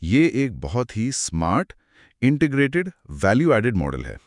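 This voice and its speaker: A man's voice: medium pitch, wildly swooping pitch, a little slow, no echo, clean studio sound. A man co-hosting a conversational explainer, speaking in an emphatic, explanatory way with English terms mixed in. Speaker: co-host explaining business results.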